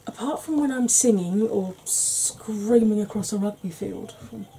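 A woman in her forties with a Welsh-tinged northern English accent, talking slowly and softly with wry humour while doing her makeup. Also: medium pitch, husky, poor audio. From Wales and Yorkshire. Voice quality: soft-spoken, slow, wry. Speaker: makeup vlogger. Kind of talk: soft-spoken makeup tutorial chat.

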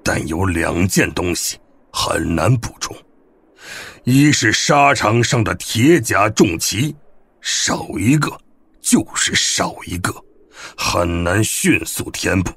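Old wuxia master, husky, unhurried, gruff, scolding, secretly caring, audio drama. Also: male voice, sits low, animated, fairly bright tone, exaggerated voice. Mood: admonishing.

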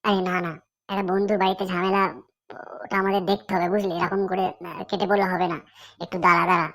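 A woman's voice: medium pitch, little pitch movement, fast talker, fairly bright tone, no room sound, close to an everyday voice. A woman voicing a nosy, loyal young cartoon friend who reasons insistently. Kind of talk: comic cartoon dialogue.

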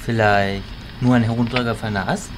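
A man playing a measured, analytical illusionist in a fantasy audio drama, with a dry delivery. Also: lowish voice, steady tone, noisy background, close to an everyday voice. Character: level-headed, analytical male illusionist companion.

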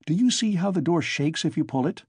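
A man voicing a cheerful, quick-witted young man in an audiobook, ringing and insistent. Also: on the high side, lively intonation, a little mellow, husky, no room sound, clean studio sound, pitched above the performer's own voice. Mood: urgent, insistent.

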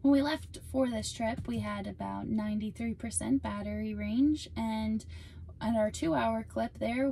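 A woman's voice: a little high, creaky, mellow, slight background noise. A young woman chatting upbeat to a phone camera held at arm's length in a parked car. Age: young adult. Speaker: vlog co-host. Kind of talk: casual vlog talk.

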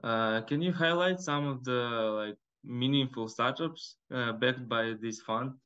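A man's voice: medium pitch, measured pace, bright, forceful tone, clear, resonant tone. A man hosting an interview, asking brief, direct questions over a video call. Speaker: podcast host. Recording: dull lo-fi video call audio.

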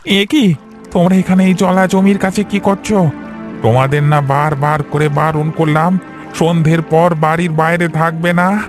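Man playing a slow, ominous caretaker uncle. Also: on the high side, little pitch movement, music in the background, lightly acted. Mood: grave warning. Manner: slow, grave, warning. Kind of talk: horror cartoon voice acting.

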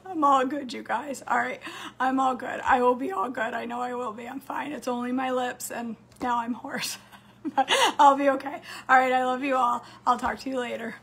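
A woman, chatting casually to her viewers from a home kitchen, slightly hoarse. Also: high voice, steady tone, moderate pace, a bit bright. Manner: chatty, upbeat, talks straight to camera. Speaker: cooking vlogger. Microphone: phone camera close up on a counter.

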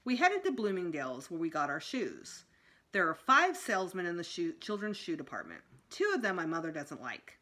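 A woman's voice: lowish voice, big pitch swings, normal speed, sharp tone. A middle-aged woman reading a children's book aloud expressively as a school storytime reader. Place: small room indoors at home.

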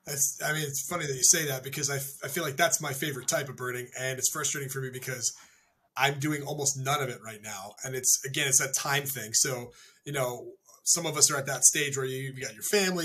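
Bright, husky man on a video call. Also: medium pitch, a little fast. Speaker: birding podcast co-host. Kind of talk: casual chat. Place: home office. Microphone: video call webcam.

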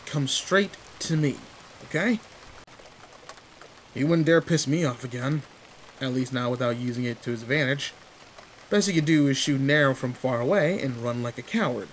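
A man's voice: a little high, slight background noise, natural voice, low-quality recording. Man playing teasing delinquent boy in the rain. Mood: protective. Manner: casual slangy, teasing, swearing. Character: tall teenage delinquent boy, teasing, protective, secretly sweet. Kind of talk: roleplay audio drama, intimate one-sided dialogue. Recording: steady rain ambience behind voice. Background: rain in a forest.

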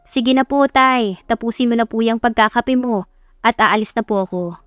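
A woman's voice: on the high side, moderate pace, a bit bright, dry recording, clean studio sound, close to an everyday voice. A woman with a Filipino accent voicing a dutiful, playful young college woman, polite and affectionate, in an audio story. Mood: gently insisting.

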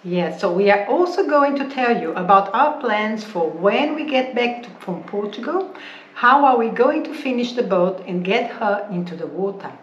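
A woman's voice: mid-range voice, expressive, moderate pace, muffled recording. A woman in her forties talking steadily to camera, plainly listing items and prices. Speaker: boat-building vlogger. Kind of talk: casual chat to camera. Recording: echoey room with faint noise.

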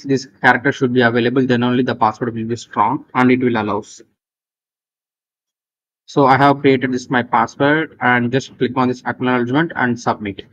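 A man with an Indian accent presenting an unscripted software walkthrough, halting and repetitive as he gives step-by-step instructions. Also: medium pitch. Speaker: tutorial presenter. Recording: dull lo-fi voice recording.

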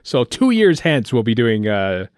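A man's voice: mid-range voice, sing-song, unhurried, no room sound, clean studio sound. A man chatting casually and thinking aloud as a podcast host. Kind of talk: casual fan commentary chat while watching a show.

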